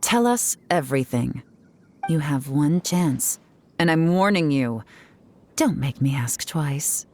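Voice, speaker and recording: A woman's voice: sits low, expressive, slow pace, darkish tone, slight background noise. A woman voicing a stern, self-assured female investigator in a video game, commanding, composed and wry. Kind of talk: dramatic video game voice acting.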